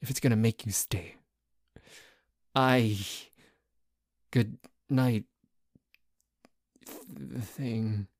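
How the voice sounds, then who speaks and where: Tsundere boyfriend, acted by a man, ASMR roleplay: grumpy, possessive, halting sighs, unhurried low-set voice. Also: fairly even intonation, dry recording, clean studio sound. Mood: reluctant, pleading.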